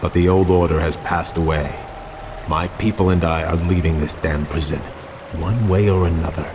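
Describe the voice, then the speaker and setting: An actor playing a proud, noble elven prince, speaking formally and resolutely in a fantasy game. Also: male voice, sits low, fairly even intonation, normal speed, background noise, natural voice. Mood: defiant. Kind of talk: dramatic fantasy game acting. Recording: game music under the voice.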